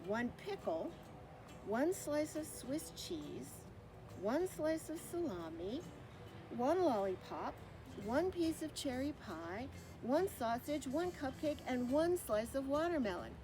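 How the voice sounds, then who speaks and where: A woman leads a children's story time with music playing behind her. Her pitch swings in a lively way as she reads in simple, expressive phrases. She steps out of the text to show things and ask young listeners questions. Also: rough recording. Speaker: naturalist reading a children's story. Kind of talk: children's story time read-aloud with show-and-tell asides.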